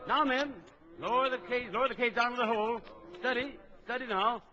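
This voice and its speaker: An actor playing a bold big-game trapper in an old children's adventure record, briskly instructing and giving orders. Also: male voice, very high voice, pushed and bright. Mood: tense, commanding. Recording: dull old record with background crowd noise.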